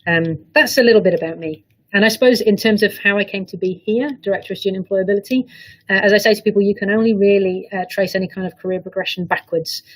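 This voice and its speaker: A woman's voice: medium pitch, fast talker, rough recording. A woman with a British accent, a university director of student employability, giving thoughtful, earnest answers at length in a video call panel interview. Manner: thoughtful, earnest, explains at length with small restarts.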